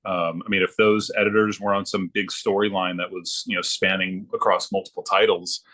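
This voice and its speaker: Low-voiced man, hesitant casual talker. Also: level tone, fairly quick, bright, forceful tone. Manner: hesitant, agreeable, thinking aloud. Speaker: podcast guest. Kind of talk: casual chat about comic books.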